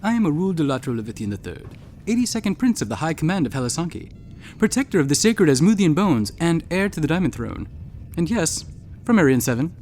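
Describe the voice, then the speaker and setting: A man's voice: a little high, big pitch swings, a little fast, darkish tone, slight background noise. A man playing a pompous deposed alien prince in a sci-fi comedy audio drama, speaking with formal, theatrical grandeur. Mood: imperious.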